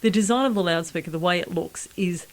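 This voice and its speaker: Lowish-voiced woman interviewing casually. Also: fast talker. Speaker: podcast interviewer.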